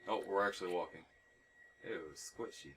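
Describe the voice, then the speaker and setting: A man's voice: sits low, moderate pace, a bit bright, a little hiss or hum. A man doing offhand let's play commentary in terse, dry, muttered asides. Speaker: gameplay streamer.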